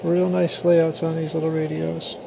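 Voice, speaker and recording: A man's voice: a little high, normal speed. An American man giving a casual, matter-of-fact product demonstration in short, plain-spoken phrases. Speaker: radio hobbyist presenter. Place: small indoor room at a radio bench. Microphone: handheld camera or phone mic. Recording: clear steady background hiss and hum.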